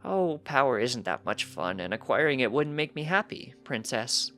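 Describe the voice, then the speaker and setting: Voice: medium pitch, very animated, moderate pace, a bit bright, faint music behind, pitched above the performer's own voice. A narrator voicing a precocious young pony colt, earnest and cheeky, chatting eagerly in a matter-of-fact way for a story reading. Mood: bright, chatty.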